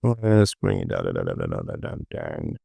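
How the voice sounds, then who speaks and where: A man presenting a casual software tutorial, informally talking himself through the work and often repeating words, close to the mic. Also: rumbling low, wildly swooping pitch, unhurried, gravelly, slightly rough, dry recording.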